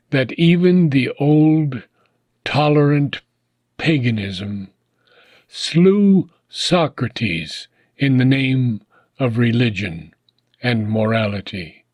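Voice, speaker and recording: A man reading a philosophical book aloud in a measured, solemn, deliberate manner, pausing often between phrases. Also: mid-range voice, a little mellow, no echo. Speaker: audiobook reader.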